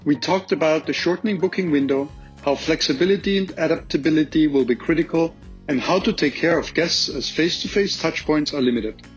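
A middle-aged man with an Austrian accent, talking through industry questions in a measured, optimistic way, with plenty of ums. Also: a little high, soft background music. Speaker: podcast host and industry guest. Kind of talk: conversational interview answers. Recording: slightly dull lo-fi audio.

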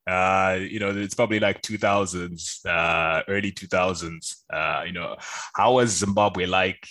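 A man's voice: sits low, fairly even intonation, measured pace, pushed and bright. A man hosting a podcast, chatting casually and informally with enthusiasm as he asks questions. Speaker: podcast host. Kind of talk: casual interview chat.

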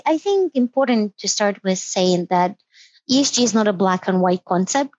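A woman's voice: mid-range voice, a little mellow, no echo, highs cut off. A woman taking part as an investment specialist guest, speaking in careful, formal phrasing. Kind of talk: conversational explanation.

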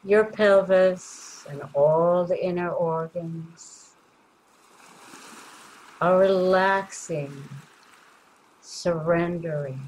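A woman leading a guided meditation in a gentle, soothing way, leaving long pauses between short phrases. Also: lowish voice, slight background noise, poor audio.

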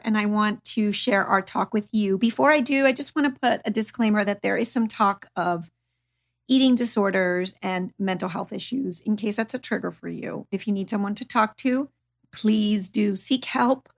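A woman hosting a podcast, talking in a chatty, gushing, informal way straight to listeners. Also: mid-range voice. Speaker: podcast host. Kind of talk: podcast intro, chatty monologue.

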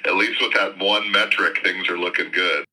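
An American man, an official, answering interview questions off the cuff in a measured, hedging, conversational way. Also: low-pitched, fairly even intonation, sharp tone, low-quality recording. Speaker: school district official being interviewed.

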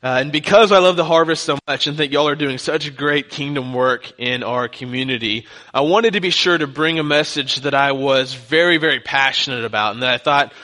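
A man's voice: mid-range voice, a little fast, hardly any pauses, bright, forceful tone. A young man from Texas with a light Texan accent, preaching a sermon as a guest pastor in a joking, conversational way. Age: young adult.

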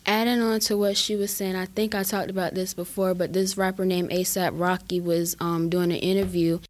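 Teen girl panelist, low steady voice, earnest and filler-heavy. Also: normal speed. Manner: earnest, many "I feel like" and "like" fillers. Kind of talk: casual discussion.

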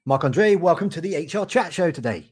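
A man's voice: fairly high pitch, animated, fast talker, breathy edge, no room sound. A man hosting a podcast interview, chatty and joking, tripping over repeated words. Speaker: podcast host.

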